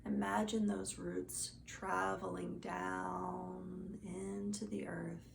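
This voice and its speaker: A woman gently guiding a meditation as a teacher, in a soothing, soft and unhurried manner. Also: fairly low pitch, level tone, gravelly. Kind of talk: guided meditation instruction. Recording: faint background noise.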